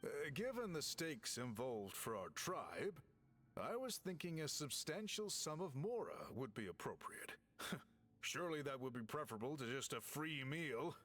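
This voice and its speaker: Polite, animated tribe official. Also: male voice, on the high side. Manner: measured, polite, formal wording. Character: polite, businesslike tribe official offering a reward. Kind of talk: video game voice acting.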